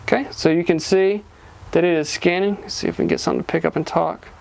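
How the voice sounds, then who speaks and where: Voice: mid-range voice, steady tone, fast talker, vocal fry, slight background noise, low-quality recording. A person giving a casual, hands-on product demonstration, explaining informally step by step. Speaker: gadget reviewer.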